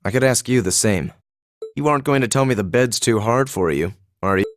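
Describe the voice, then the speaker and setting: An actor playing a reserved, cynical young nobleman in a video game, speaking formally and with dry, measured phrasing. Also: medium pitch, lively intonation, dry recording, close to an everyday voice. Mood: calm, curious. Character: reserved, cynical young illegitimate son of a duke. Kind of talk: English-language game voice acting.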